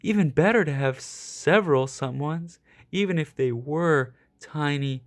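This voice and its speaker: A man reading a children's picture book aloud as an expressive storyteller. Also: on the high side, a little slow. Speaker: storybook reader.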